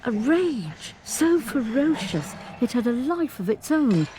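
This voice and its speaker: An actress playing a dignified woman of eighty-five, a survivor of an abusive marriage, telling her life story as a measured, articulate dramatic monologue. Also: on the high side, big pitch swings, warm tone. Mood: grave, bitter. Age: older. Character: 85-year-old grandmother, abused former footballer's wife, dignified survivor. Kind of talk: dramatic first-person monologue, scripted storytelling. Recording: studio recording with guitar music underneath. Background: instrumental guitar music.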